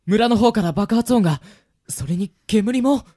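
A man's voice: sits high, expressive, unhurried, mellow. A man playing a polite, earnest young mage and loyal younger brother in an amateur radio drama, courteous in his wording. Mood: alarmed. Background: music.

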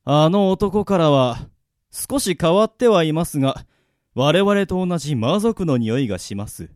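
A courteous, cool-headed demon companion with a tired sigh in his words, played by a man. The sound is dry and close. His voice sits slightly high. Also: lively intonation, measured pace, a little mellow, no room sound. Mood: calm. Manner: polite, cool, sighing. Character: polite male demon companion, calm and weary. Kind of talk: radio drama acting.

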